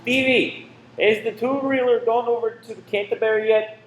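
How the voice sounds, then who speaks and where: A man's voice: very high voice, fairly even intonation, moderate pace. Guy acting a scheming husband in a radio drama, tense and pushy, roomy echo, bit of hiss. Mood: urgent coaxing. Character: tense, secretive married man running a cinema saboteur scheme. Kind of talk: amateur radio play acting. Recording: roomy echo with faint noise.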